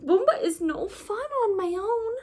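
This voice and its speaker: A very high, swooping little penguin boy, played by a woman for a children's storybook. He is the eager, clingy baby brother, exclaiming his words like a small child. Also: expressive, measured pace. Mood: sad. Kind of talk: children's storybook read-aloud.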